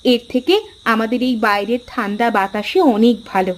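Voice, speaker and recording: A woman's voice: a little high, steady tone, moderate pace, close to an everyday voice. A woman voicing a caring village wife in a cartoon, coaxing and homely in her way of talking. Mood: homely, earnest. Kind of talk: cartoon dialogue acting.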